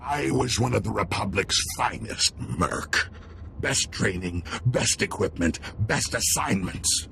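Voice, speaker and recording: A menacing alien ex-soldier game villain, slow gravelly drawl, cocky and taunting, bit of noise behind. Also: male voice, lowish voice, animated, pushed and bright, exaggerated voice. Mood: proud menace. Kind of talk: video game villain acting.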